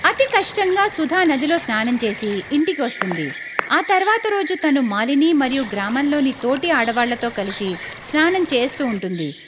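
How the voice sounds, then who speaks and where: Woman, story narrator, high pitch, scripted delivery, faint background noise. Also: very animated, normal speed.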